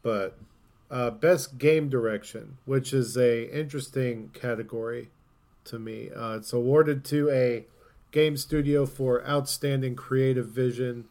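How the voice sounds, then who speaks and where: A man's voice: mid-range voice, steady tone, unhurried, a little mellow. Casual man chatting on a gaming podcast. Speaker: podcast co-host.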